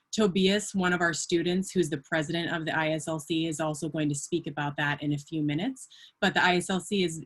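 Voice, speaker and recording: A woman with a low-pitched voice speaks as an associate director who advises students. She explains office services in an informal presentation style.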